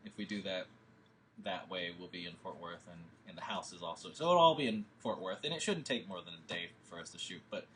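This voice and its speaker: A man's voice: low-pitched, a little fast, a bit bright, slight background noise, low-quality recording. A man leading a film table read, talking through plans in a rambling, hesitant way with many fillers. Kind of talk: casual discussion.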